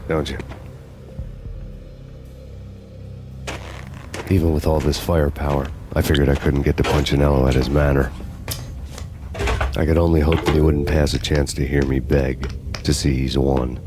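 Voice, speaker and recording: An actor playing a jaded, sardonic hardboiled cop in a noir game, delivering lines in a deadpan, wry way. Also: male voice, rumbling low, brisk, noisy background. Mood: grim. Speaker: game protagonist and narrator.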